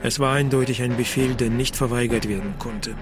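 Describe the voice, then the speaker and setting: An actor playing a wry, unflappable middle-aged investigator in a radio drama, with a measured, dry delivery. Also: male voice, mid-range voice, fairly even intonation, music in the background, natural voice. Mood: sober narrating. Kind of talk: radio drama acting with first-person narration.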